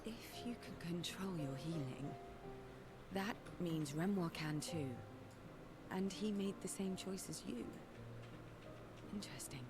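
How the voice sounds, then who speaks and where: A woman's voice: fairly low pitch, slow, pauses often, over loud music. A woman playing a cool, probing, teasing companion in naturalistic video game dialogue, terse and understated. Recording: noisy ambience behind game dialogue.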